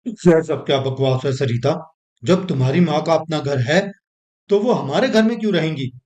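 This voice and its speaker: A man's voice: fairly high pitch, moderate pace, a little mellow, close to an everyday voice. A man voicing a selfish, scheming young husband in a moral story, dismissive and pushy. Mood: annoyed. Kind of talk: animated moral story dialogue.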